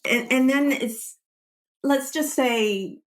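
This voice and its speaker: A woman's voice: high voice, slow pace. A woman speaking as a wellbeing coach in an interview, explaining her advice conversationally and voicing little example quotes. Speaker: wellbeing coach, podcast guest.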